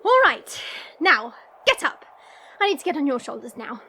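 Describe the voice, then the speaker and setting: A woman's voice: sits high, sing-song, moderate pace, pushed and bright, heavily acted. A woman playing a haughty, short-tempered dragon princess in an audio drama, imperious and mocking. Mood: menacing. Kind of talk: audio roleplay drama acting.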